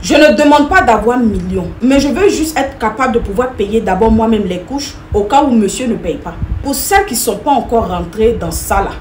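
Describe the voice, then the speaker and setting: A woman's voice: fairly high pitch, lively intonation, normal speed, hardly any pauses, darkish tone. A woman, giving direct advice to viewers in an emphatic, repetitive way. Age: 30s. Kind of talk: direct-to-camera opinion talk. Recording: echoey room with faint noise.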